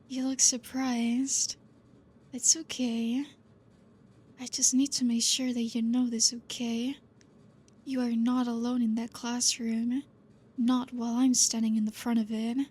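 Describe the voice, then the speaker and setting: A woman voicing a protective, possessive female vampire teacher in an ASMR roleplay. She speaks softly, calmly and with quiet command, her breathy voice slipping into whispers. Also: on the high side. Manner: soft-spoken, calm, quietly commanding.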